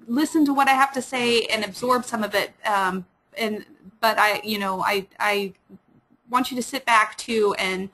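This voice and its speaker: A woman librarian with a Midwestern accent giving a webinar lecture, talking fast with many fillers and restarts. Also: medium pitch, pushed and bright, rough recording.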